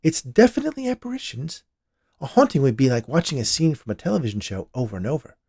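A man's voice: mid-range voice, huge pitch swings, fast, vocal fry, darkish tone, slightly rough, no echo, studio quality, pitched above the performer's own voice, heavily acted. A man voicing a plump, unperturbed woman spirit medium with a lofty, knowing, explanatory manner in a storybook reading. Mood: knowing, lecturing.

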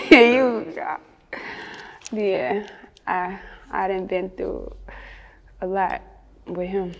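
A woman's voice: mid-range voice, extremely slow, gravelly, long pauses, big-room sound, a crowd in the background. A woman with a Chicago accent chatting casually as an interview guest, candid and slangy. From Chicago, United States. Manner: candid, slangy, often chuckling.

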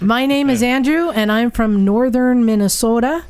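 A woman's voice: on the high side, normal speed, a loud crowd. A woman co-hosting a motorcycle podcast, chatting casually and reading listener emails aloud with a playful, laughing manner. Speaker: podcast co-host.

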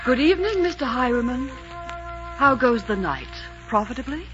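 Over clear background music on a dull old radio recording, a young woman in love. She is coy and coquettish, murmuring her romantic lines in 1940s radio style. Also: sits high, sing-song, moderate pace, a bit bright. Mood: coy, tender.

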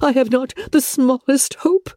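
A woman voicing a distraught, self-blaming young gentlewoman in a classic novel reading, agitated and halting, her voice tearful and breaking. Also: high voice, dry recording, clean studio sound, voice pushed up. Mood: despairing.

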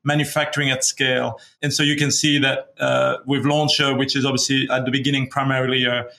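A middle-aged man from Belgium talks through his career on a video call. His voice is bright and forward. He speaks casually, stopping and restarting his sentences. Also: mid-range voice, level tone, gravelly. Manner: halting, many restarts and fillers. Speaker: guest, company founder. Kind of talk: casual interview talk.

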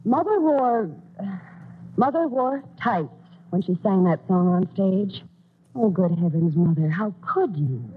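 A woman's voice: medium pitch, expressive, a little slow, a little hiss or hum, natural voice. An actress narrating as a fond grown daughter recalling her parents in a 1940s radio play. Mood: wistful. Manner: fond, wistful storytelling. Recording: tinny old radio broadcast with music.

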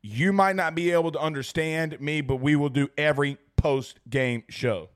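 A young man hosting a fan livestream after a big game, his voice hoarse and strained from yelling. He talks in an excitable, rambling way, repeating lines for emphasis. His voice sits a bit high for a man. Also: moderate pace. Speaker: sports talk show host. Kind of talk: excited post-game livestream chat reading super chats.

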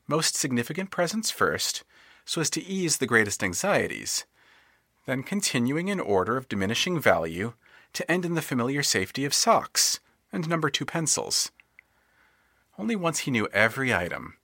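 A man narrating an audio drama in scripted, expressive storytelling, swelling with tension and dropping to hushed tones. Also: lowish voice.

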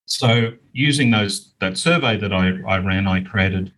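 A middle-aged Australian man explaining things in a measured, conversational way as an interview guest. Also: fairly low pitch, fairly bright tone, poor audio. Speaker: interview guest, business owner.

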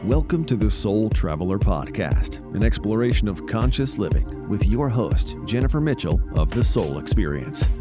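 Low-voiced man announcing a podcast. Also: big pitch swings, moderate pace, hardly any pauses. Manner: smooth announcer delivery. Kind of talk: scripted show intro. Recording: music bed under voice.

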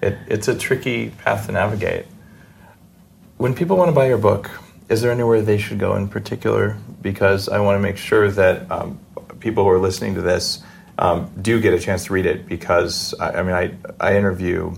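A man hosting a podcast interview, talking in an informal, earnest and slightly halting way. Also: on the low side, fairly even intonation, gravelly, slight background noise. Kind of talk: conversational interview.

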